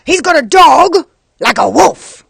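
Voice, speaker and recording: A woman voicing excitable children who tell spooky rumours dramatically in a children's storybook. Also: high-pitched, takes it slowly, vocal fry, no room sound, studio quality, pitched above the performer's own voice, heavily acted. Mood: spooky, alarmed. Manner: dramatic, ominous. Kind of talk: read-aloud children's storybook.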